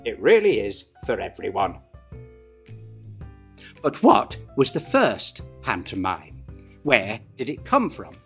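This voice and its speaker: A middle-aged man narrates with the playful, exaggerated flair of a pantomime dame, his pitch leaping and swooping all over the place. He speaks from a small dressing room at desk distance from the camera, with faint music behind him. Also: wildly swooping pitch, slow pace, gravelly, long pauses, a bit bright, slightly rough. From Britain. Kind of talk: scripted explainer narration.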